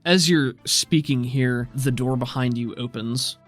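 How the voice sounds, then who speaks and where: Measured man narrating as game master. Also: mid-range voice, faint music behind. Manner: measured, descriptive scene narration. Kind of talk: narrating a tabletop roleplaying game.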